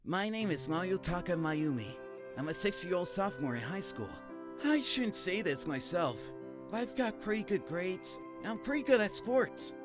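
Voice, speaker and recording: A man voicing a shy, bookish teenage high school boy in a manga dub, self-effacing and expressive. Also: normal speed. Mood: self-deprecating. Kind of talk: manga dub narration and dialogue. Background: background music.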